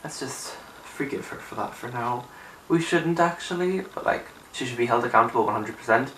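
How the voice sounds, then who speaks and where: Young male vlogger, morning-raspy, chatty and emphatic; recorded from a distance in an echoey room, light hiss. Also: medium pitch, lively intonation, moderate pace, fairly bright tone. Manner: chatty, rambling, emphatic with filler "like". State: raspy from just waking up. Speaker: music vlogger. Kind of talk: casual opinion chat to camera. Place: small untidy room indoors. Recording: roomy, distant camera sound with faint hiss.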